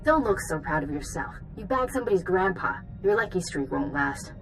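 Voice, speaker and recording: A woman's voice: on the low side, lively intonation, a little fast, over music, low-quality recording. A woman voicing a mocking, sarcastic, competitive rival bounty hunter in a video game. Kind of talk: dramatic video game dialogue.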